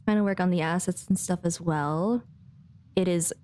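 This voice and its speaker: A woman's voice: lowish voice, normal speed, darkish tone. A woman giving casual, chatty live commentary as a game streamer, with playful asides. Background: faint game music.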